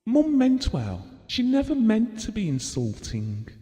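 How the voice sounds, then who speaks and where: A devoted, possessive husband in an audio drama. His voice is soft-toned and on the high side for a man, with reflective, measured phrasing. It rings in a long hall-like reverb. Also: huge pitch swings, full character voice. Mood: earnest, defensive. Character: devoted, possessive husband Bill, gentlemanly and wistful.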